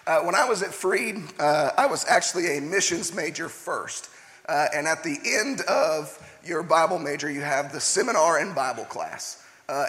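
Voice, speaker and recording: A young man delivers a relaxed sermon while hoarse, his voice a touch higher than most men's. He talks in a chatty way, full of "uh" and "um." A long reverb hangs behind him as in a church hall. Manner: chatty, frequent "uh" fillers. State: hoarse, partly lost voice. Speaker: preacher. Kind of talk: casual sermon with personal story.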